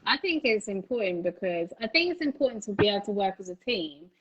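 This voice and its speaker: A woman's voice: mid-range voice, very animated, fast talker, husky. Chatty woman interviewer, lo-fi sound. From United Kingdom. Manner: chatty and enthusiastic, laughs often, many fillers. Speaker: interviewer, channel host. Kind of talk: casual interview chat. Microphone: phone recording.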